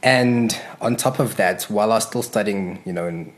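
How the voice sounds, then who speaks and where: A young man from Zimbabwe, a podcast guest, telling his story in a relaxed, thoughtful way with many fillers and restarts. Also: on the low side, moderate pace. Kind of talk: casual interview storytelling.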